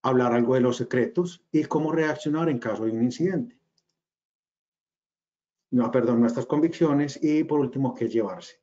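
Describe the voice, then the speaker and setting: A man with a Colombian accent gives a webinar talk from a small room over his webcam. His voice is mellow and warm, his delivery hesitant and full of fillers. The audio is a little dull, like a typical video call. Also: medium pitch, moderate pace. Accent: Colombian regional. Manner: hesitant, many fillers and restarts. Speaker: webinar speaker, company cofounder. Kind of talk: webinar presentation.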